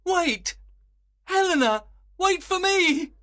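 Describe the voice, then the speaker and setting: A man's voice: on the high side, big pitch swings, a little slow, no echo, studio quality, voice pushed up. A man voicing an ardent, earnest young male lover in a storybook, romantic and eager. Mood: smitten, urgent.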